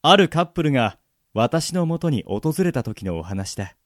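Brief: male actor for a self-satisfied love guru of the trains, fairly high, very animated, theatrical radio comedy. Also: normal speed, no room sound. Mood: boastful. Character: pompous, self-adoring male legendary love evangelist of the trains. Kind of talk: comic amateur radio drama acting.

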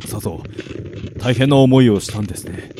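A man's voice: mid-range voice, normal speed, noisy background, close to an everyday voice. A man playing a polite, protective attendant in a radio drama, courteous and explanatory. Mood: courteous, caring. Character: polite, protective male attendant to young girl. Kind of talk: Japanese radio drama acting. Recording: music under the voice.

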